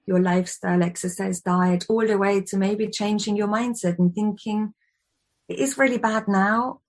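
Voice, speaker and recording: A woman's voice: medium pitch, fairly even intonation, moderate pace. Woman, 40s, chatty wellness expert being interviewed, conversational. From Austria. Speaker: guest, wellbeing expert and yoga teacher.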